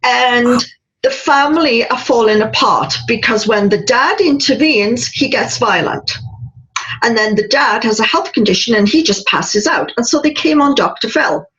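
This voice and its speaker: A woman's voice: on the high side, moderate pace, lo-fi sound. A woman hosting an interview, talking emphatically and telling stories with feeling. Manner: emphatic, animated storytelling. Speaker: podcast host. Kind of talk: casual interview chat.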